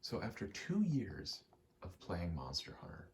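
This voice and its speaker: A soft-spoken man giving rambling ASMR game commentary, halting and casually profane, often slipping into a whisper. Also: sits low, huge pitch swings, slight background noise. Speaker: gaming video creator.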